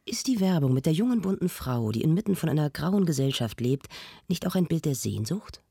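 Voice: mid-range voice, very animated, fairly quick, gravelly, mellow, slightly rough, no room sound, clean studio sound, full character voice. An actor playing a searching, anguished intellectual discussant in a radio drama, probing and emphatic. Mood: probing, insistent.

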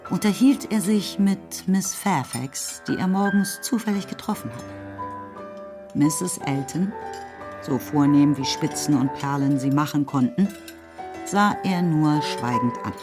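A woman's voice: low voice, slow pace. A woman reading scripted literary narration as the narrator of a radio play. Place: radio studio. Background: music.

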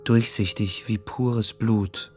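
A man's voice: fairly low pitch, steady tone, slow, a little mellow, faint music behind. Sensitive, contemplative young man. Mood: wondering. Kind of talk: poetic radio drama monologue.

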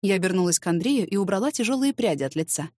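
A woman's voice: medium pitch, animated, quick pace, warm tone, dry recording, studio quality. A woman reading first-person fiction expressively as an audiobook narrator.

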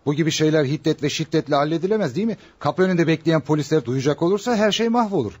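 A man's voice: fairly high pitch, lively intonation, fast talker, darkish tone, husky. An actor playing a scheming husband in a radio play, formal and falsely courteous as he blackmails. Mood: smug coaxing. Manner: formal, theatrical, mock-courteous. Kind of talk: Turkish radio theater acting.

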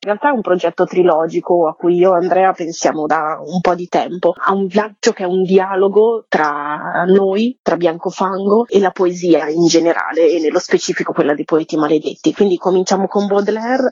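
A woman with a rough, husky voice speaks as a theatre-maker in a reflective, conversational interview. She often seeks agreement with little tag questions. The sound is very dry and slightly muffled. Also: on the low side, moderate pace, hardly any pauses, darkish tone, no room sound.

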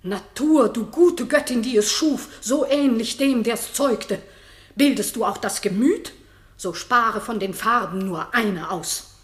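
A woman's voice: fairly high pitch, slow pace, echoey room. An actress playing a bold, righteous, outspoken noblewoman in a classic German radio drama, declaiming with defiance. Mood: pleading, insistent.

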